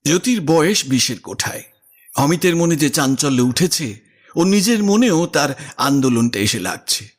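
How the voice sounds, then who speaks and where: A man narrating a literary story in an expressive, scripted audio drama style. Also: on the high side, moderate pace. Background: music.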